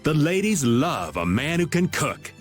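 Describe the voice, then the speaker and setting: Teasing, lively-voiced roguish flirt. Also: male voice, on the high side, measured pace, faint music behind. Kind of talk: English video game voice acting.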